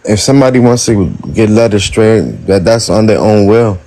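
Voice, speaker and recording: A man's voice: lowish voice, normal speed, dark tone, low-quality recording. A man in an online debate who stammers and repeats words as he answers. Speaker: debate participant.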